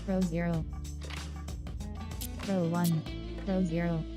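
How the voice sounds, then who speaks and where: A woman's voice: fairly low pitch, little pitch movement, slow, music in the background. A woman voicing a game menu announcer, reading out short labels in a neutral, clipped style. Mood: flat, neutral. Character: female game menu voice, flat and measured.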